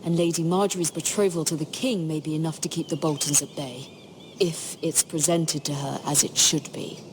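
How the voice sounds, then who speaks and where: Woman acting as middle-aged noblewoman, lowish voice, formal, earnest, worried, faint background noise, fantasy video game. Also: fairly even intonation, lightly acted, poor audio. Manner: formal, measured, pleading.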